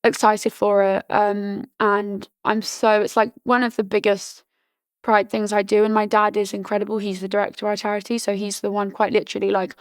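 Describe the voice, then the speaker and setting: A woman in her early twenties from Britain, chatting casually as a podcast guest, full of "like" fillers and restarts, her voice slightly hoarse. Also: medium pitch, flat delivery, brisk, dry recording. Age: early 20s. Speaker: podcast guest, charity founder. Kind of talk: casual interview chat.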